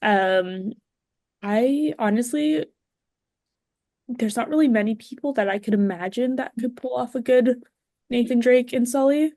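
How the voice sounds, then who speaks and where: A woman's voice: fairly high pitch, unhurried, pauses often, mellow, no room sound. Woman chatting casually, dry close sound. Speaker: podcast guest. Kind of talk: casual chat about movies.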